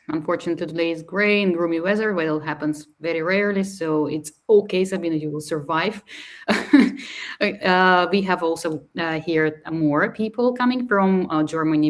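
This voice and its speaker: A woman hosting an online session who chats casually, reads viewer comments aloud and laughs often. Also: on the low side. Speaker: host.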